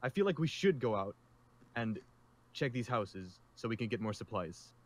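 A man's voice: on the low side, animated, normal speed. A man playing a reasonable, loyal survivor in improvised roleplay, talking persuasively to keep the peace. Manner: persuasive, conciliatory.

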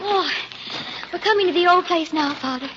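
An actress in a 1940s radio drama playing an earnest grown daughter, speaking in a clearly enunciated way. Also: female voice, very high voice, moderate pace, fairly bright tone. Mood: gentle, explaining. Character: earnest grown daughter of a long-lost father. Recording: muffled old 1940s radio recording, faint noise.

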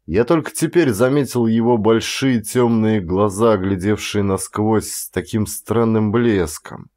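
Male audiobook narrator, low register, dramatic literary reading. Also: a little slow, darkish tone. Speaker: audiobook narrator and stage actor.

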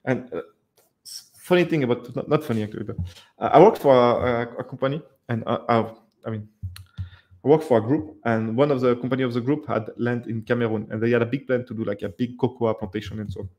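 A man's voice: medium pitch, fast, a little mellow. A man in his thirties hosting a live webcam Q&A, talking casually with many fillers and restarts, recorded in a dim indoor room. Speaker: livestream host answering viewer questions. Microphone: headset or desk mic, webcam framing.

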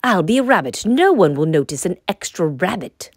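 A woman voicing an excitable, determined turkey who gobbles and grumbles in a children's storybook. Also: lowish voice, normal speed, breathy edge, no echo, clean studio sound, exaggerated voice. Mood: eager. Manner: exclaims in gobbles.

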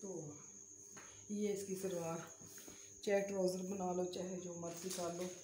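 A Pakistani woman talks casually to her vlog viewers in a homely, pious, repetitive way. Her voice is mellow and fairly even in melody. The room adds clear echo. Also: on the low side, measured pace. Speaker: vlogger. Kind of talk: casual home vlog chat. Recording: roomy echo, faint background hiss.

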